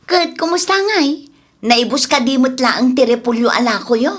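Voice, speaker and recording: A woman's voice: sits high, expressive, normal speed. A woman playing a supportive, practical farmer's wife in an Ilocano radio drama, with an Ilocano Filipino accent. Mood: affectionate, practical.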